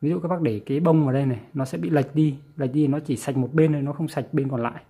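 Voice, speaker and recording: A man with a northern Vietnamese accent giving a casual hands-on tutorial, halting and repeating words as he goes. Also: a little high, warm tone, husky. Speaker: vlogger presenting a how-to. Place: small indoor room with audio gear.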